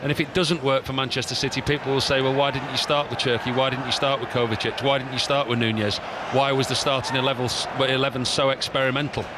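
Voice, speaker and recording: A man's voice: medium pitch, little pitch movement, quick pace, hardly any pauses. A man giving thoughtful co-commentary on a football match, talking through tactics. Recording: stadium crowd and noise behind.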